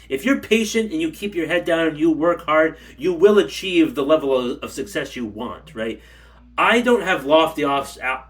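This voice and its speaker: A man's voice: fairly high pitch, fairly bright tone. Rambling blunt man ranting to camera. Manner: rambling, blunt, repeats himself. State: stuffy from allergies. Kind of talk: casual rant. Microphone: camera mic.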